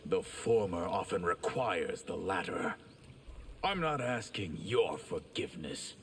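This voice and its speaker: Slow, haughty sorcerer. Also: male voice, low-pitched, lively intonation, fairly bright tone, exaggerated voice. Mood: cold, imperious. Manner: measured, formal, imperious. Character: haughty, solemn water sorcerer, menacing. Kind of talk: video game fight intro acting. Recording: hall reverb, faint noise.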